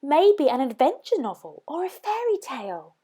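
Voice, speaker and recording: A woman's voice: sits high, very animated, normal speed, dark tone, pitched above the performer's own voice. A woman voicing a kind, curious, eager young storybook princess in an exclamatory manner.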